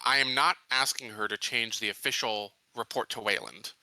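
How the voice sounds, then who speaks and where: A man talks casually as a player in a tabletop game. He explains himself in a halting way. His voice is very bright and forward, recorded dry with no room sound. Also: mid-range voice, fairly even intonation, no echo, poor audio.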